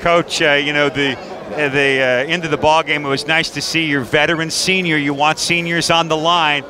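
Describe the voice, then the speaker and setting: Middle-aged male sportscaster, high-pitched, crowd behind. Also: little pitch movement, normal speed. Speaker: sports radio interviewer. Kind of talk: post-game interview. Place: courtside in an indoor arena. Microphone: headset. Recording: crowd noise in busy arena.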